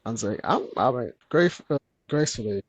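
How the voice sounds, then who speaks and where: A soft-spoken man talks quietly and earnestly as a guest in a candid conversation. His voice is husky and soothing, pitched in an ordinary middle range. The sound is close and dry. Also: expressive, fast talker, no echo. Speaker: podcast guest.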